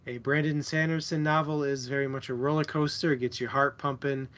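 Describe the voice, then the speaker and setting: A man co-hosting a casual book chat, thinking aloud in short, halting phrases. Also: mid-range voice, fairly even intonation, fairly bright tone, dull audio. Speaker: book club show co-host.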